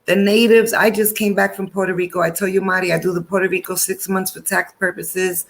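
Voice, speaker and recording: A man's voice: high voice, little pitch movement, a little fast, gravelly, a bit bright. A man hosting a casual panel chat, upbeat and encouraging, signing off with blessings. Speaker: show host.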